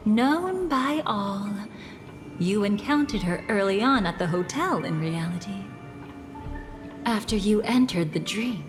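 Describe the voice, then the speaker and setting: An actress playing a composed, enigmatic woman who guides a listener through memories in a video game, speaking in a measured, cryptic way. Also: medium pitch. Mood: probing. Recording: long reverb under clear music.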